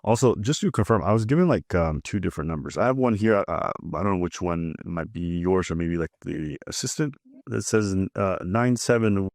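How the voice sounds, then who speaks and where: A man's voice: low voice, lively intonation, moderate pace, a little mellow, dry recording, studio quality. A man interviewing, asking careful questions to clarify details.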